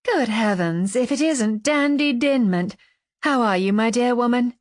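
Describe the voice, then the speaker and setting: Audiobook role: sociable society woman, breezy drawl, effusive, pitched up, done by a female narrator. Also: expressive, normal speed, no echo, clean studio sound, natural voice. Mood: delighted surprise.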